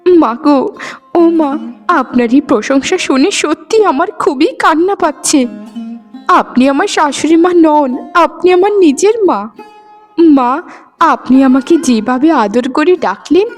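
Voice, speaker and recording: A woman acts out a young married daughter in a cartoon family drama. The voice is extremely high, plaintive and full of pathos. Music plays underneath. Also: lively intonation, a little slow. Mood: tearful gratitude. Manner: pleading, emotional, repeats phrases for pathos. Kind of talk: Bengali cartoon voice acting.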